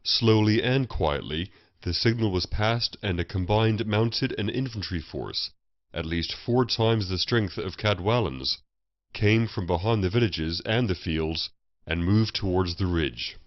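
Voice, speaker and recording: A man's voice: low voice, measured pace. A man reading a historical fantasy story as a scripted audiobook narration. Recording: muffled, roomy voice recording.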